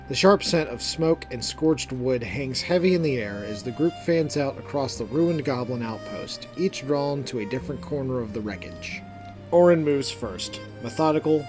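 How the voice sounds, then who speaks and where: A man narrating a scripted fantasy story in a measured, deliberate, dramatic manner. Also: mid-range voice. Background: background music.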